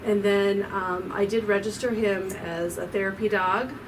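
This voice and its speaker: A woman's voice: mid-range voice, fairly even intonation, moderate pace. Middle-aged woman, distant and noisy. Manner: warm-hearted, rambling with fillers. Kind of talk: interview answers. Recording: distant mic with clear background noise.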